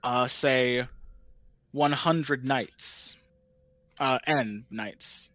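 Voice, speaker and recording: A man narrating as dungeon master in a tabletop game, talking haltingly with many fillers and restarts. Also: medium pitch, fairly bright tone, dry recording.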